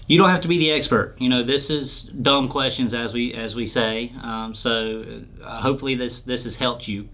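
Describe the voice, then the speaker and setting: A man's voice: mid-range voice, steady tone, normal speed, few pauses, fairly bright tone. A man answering questions as a company product expert, in an informal, plain-spoken explanatory style. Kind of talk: casual explanatory interview talk.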